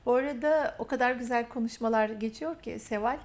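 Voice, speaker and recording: Woman, interview host, praising, repetitive emphatic phrasing, slightly high voice. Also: a little fast. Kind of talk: warm, effusive interview talk.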